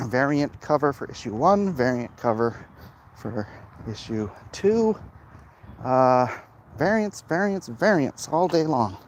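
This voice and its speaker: A man's voice: fairly high pitch, sing-song, slow pace, darkish tone. A man livestreaming casually to viewers on his phone while walking outdoors, rambling and emphatic. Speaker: livestream host, comic artist. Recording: noisy outdoor walking recording.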